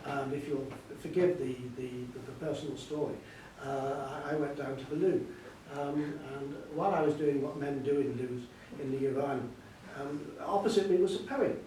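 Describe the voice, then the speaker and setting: A man's voice: mid-range voice, normal speed, warm tone. An older man, a scholar being interviewed, explains at length in a discursive, emphatic way, repeating words for stress. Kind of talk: extended spoken explanation at a book event. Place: indoor event hall. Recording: echoey hall, distant mic, faint noise.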